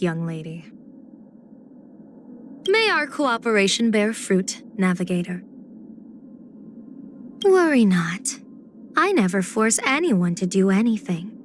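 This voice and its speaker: A woman playing a playful, scheming, poised general and diviner in a video game, speaking in measured, teasing, elegant phrases. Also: a little high, a little hiss or hum. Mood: courteous, teasing. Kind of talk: video game character voice acting.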